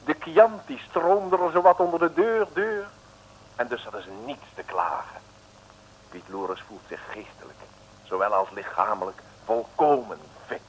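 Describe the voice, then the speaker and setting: A man's voice: mid-range voice, animated, unhurried. Playful male narrator of an old radio serial. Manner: playful, theatrical, rhyming storytelling. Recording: noisy old radio recording.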